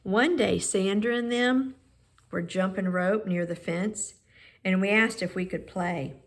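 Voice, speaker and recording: A woman reading a children's picture book aloud in measured, expressive phrases with pauses. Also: medium pitch. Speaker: storybook reader.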